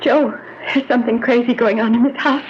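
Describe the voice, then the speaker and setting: A woman's voice: a little high, fairly even intonation, fairly quick, music in the background, natural voice. An actress playing a frightened, bewildered young woman in a melodramatic radio drama, pleading and repeating herself breathlessly. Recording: dull lo-fi old radio recording.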